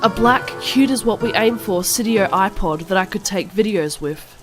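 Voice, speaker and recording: A woman's voice: medium pitch, normal speed, close to an everyday voice. A woman voicing a gushing, melodramatic teenage goth girl vampire, narrating parody fan fiction in an over-the-top dramatic way. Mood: scheming, excited. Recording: loud music behind the voice.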